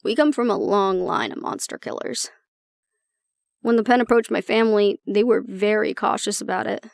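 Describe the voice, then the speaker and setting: A woman playing an anxious young woman with a tough front and a soft core, confiding casually and with swearing in an intimate audio roleplay. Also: medium pitch, fairly even intonation, moderate pace, vocal fry, dry recording, clean studio sound, lightly acted. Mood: wry, guarded.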